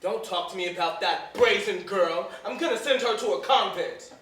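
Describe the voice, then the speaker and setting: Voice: mid-range voice, moderate pace, a bit bright, natural voice. A stage actor playing a fussy hypochondriac master of the house, whining and complaining theatrically in a live play. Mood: grumpy. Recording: echoey theater from far off, noisy.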